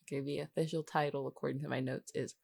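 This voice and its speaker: A woman's voice: a bass voice, flat delivery, fast talker, creaky, a little mellow, slightly rough, dry recording, studio quality. A woman chatting casually as a podcast co-host, rambling and teasing as she goes. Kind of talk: casual behind-the-scenes podcast chat.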